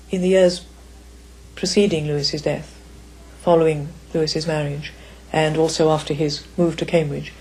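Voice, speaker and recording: A woman's voice: low voice, dark tone, husky, poor audio. An older woman reminiscing in an interview, careful, articulate and formal. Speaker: author's daughter, interviewee. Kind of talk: reflective interview.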